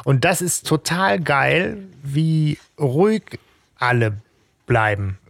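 A man's voice: a little high, animated, slow pace, gravelly, a bit bright, dry recording. A man co-hosting a podcast, chatting about a radio drama with enthusiasm and lots of filler sounds. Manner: enthusiastic, hesitant with many fillers. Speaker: podcast co-host.

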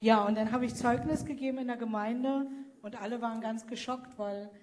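A woman working as a missionary to Cambodia, telling her conversion story softly, with her sentences fading away. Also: fairly high pitch, fairly even intonation, a little fast. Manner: soft-spoken, trailing off quietly. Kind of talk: personal testimony in an interview. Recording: faint background noise.

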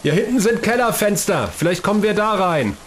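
A man playing a brisk, practical explorer in a German science-fiction audio drama, urging his companions along. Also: high-pitched, lively intonation. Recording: faint background noise.

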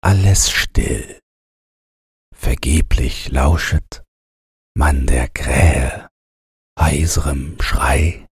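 A man reading a bedtime storybook as an audiobook narrator, with a gravelly, husky voice and measured, drawn-out phrasing. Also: rumbling low, no room sound. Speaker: audiobook reader.

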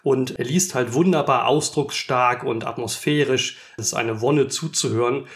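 A man's voice: mid-range voice, normal speed. A man co-hosting a podcast in a conversational style. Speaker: podcast co-host.

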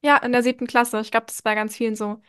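A young woman with a somewhat high voice asks questions and chats in an easy, friendly way. She often chimes in with quick words of agreement and keeps the conversation light and casual. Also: little pitch movement, a bit bright, clear, resonant tone. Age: young adult. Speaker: interviewer, host. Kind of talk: casual interview.

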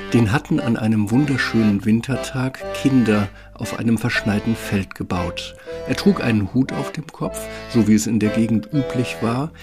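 Man narrating a fairy tale over music. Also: medium pitch.

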